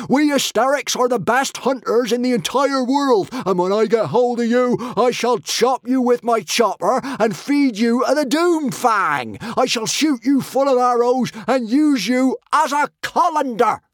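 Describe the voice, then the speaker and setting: Furious storybook barbarian, pompous, loud, high-pitched, bellowing. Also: male voice, lively intonation, a little slow, hardly any pauses, no echo, studio quality. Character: huge raging pompous male barbarian warrior.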